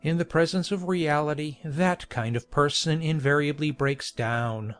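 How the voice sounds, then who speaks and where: A woman putting on a pompous clergyman who lectures slowly and loftily, his voice set lower than the narrator's own. A storybook reading with faint music behind. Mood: scornful. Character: pompous, condescending male chaplain. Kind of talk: audiobook character voice.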